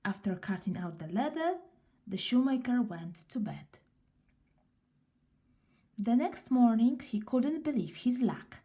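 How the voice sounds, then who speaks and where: A woman reading a children's story aloud in an unhurried, expressive way. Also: on the high side.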